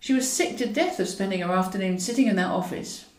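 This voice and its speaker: A woman's voice: medium pitch, fast, a little mellow. A woman reading a comic novel as scripted narration, with a wry, dryly ironic way of telling it. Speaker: author reading own novel. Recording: roomy echo, distant from mic.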